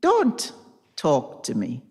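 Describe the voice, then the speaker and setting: A woman voicing a proud, wounded young wife in a dramatized reading, slow, emphatic and pointed. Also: rumbling low, wildly swooping pitch, pitched below the performer's own voice, heavily acted. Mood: cold anger. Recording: reverberant recital hall.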